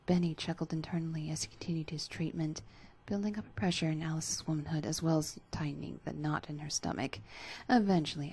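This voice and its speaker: A woman's voice: deep voice, mellow, breathy edge. A woman narrating scripted fan fiction as a dramatic reading.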